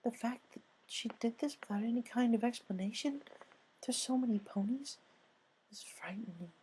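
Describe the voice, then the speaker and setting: A narrator voicing a gentle, timid young female pegasus pony in a soft, hesitant storybook voice. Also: medium pitch, moderate pace, slight background noise, voice pushed up, lightly acted, rough recording. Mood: worried.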